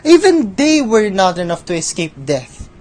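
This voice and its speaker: A woman's voice: mid-range voice, very animated, normal speed, a little mellow, natural voice. A woman playing Death in a radio drama as a mocking, cynical and theatrically knowing figure. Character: Death personified, female, mocking, world-weary and cynical.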